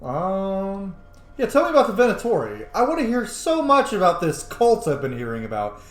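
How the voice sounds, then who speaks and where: A man's voice: sits high, huge pitch swings, moderate pace. A man giving casual, sweary, mocking commentary as he roleplays through a video game. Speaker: gaming video host. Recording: echoey room.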